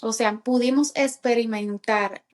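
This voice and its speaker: A Cuban woman in her thirties with a Cuban accent, a podcast guest telling her life story in a halting way, with many fillers. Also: fairly high pitch, steady tone. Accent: Cuban Spanish. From Cuba. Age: 30s. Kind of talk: personal testimony in casual chat.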